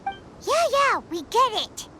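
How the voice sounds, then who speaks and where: A woman voicing a tiny childlike fairy companion in a video game, blunt, squeaky and suspicious, over music. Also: very animated, slow, a little hiss or hum, exaggerated voice. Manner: squeaky, blunt, refers to herself by name. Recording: loud game music behind.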